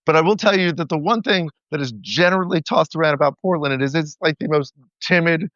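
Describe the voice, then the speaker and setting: A man's voice: fairly high pitch, quick pace, a bit bright, no echo, muffled recording. A man from the American South, a podcast guest, talking casually and wryly with lots of fillers, over remote call audio. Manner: loose, filler-heavy, wry. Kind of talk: casual political chat.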